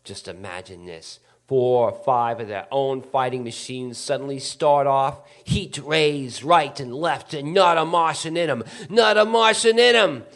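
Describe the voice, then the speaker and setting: A man's voice: medium pitch, a little slow, few pauses, a bit bright. Man acting a fanatical, power-hungry soldier survivor. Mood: scheming, excited. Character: fanatical scheming artilleryman survivor, hungry for power, feverishly excited. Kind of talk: stage radio play acting.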